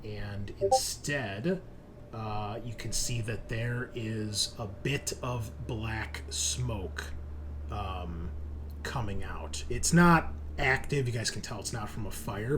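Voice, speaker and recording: Low-voiced male game master, noisy audio. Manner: halting, descriptive, conversational. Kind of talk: improvised tabletop game narration.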